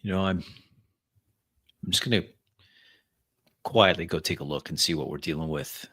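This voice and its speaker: Male tabletop gamer. Deep, crisp-edged forceful tone, in-character dialogue and first-person action narration. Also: moderate pace, no room sound, studio quality. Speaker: roleplay game player.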